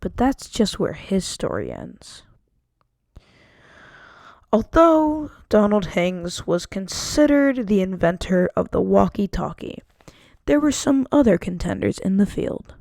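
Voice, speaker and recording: A woman's voice: medium pitch, sing-song, slow pace, vocal fry, darkish tone, no room sound. A woman narrating a student history project, reading carefully from a script with an occasional stumble. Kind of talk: scripted narration read aloud.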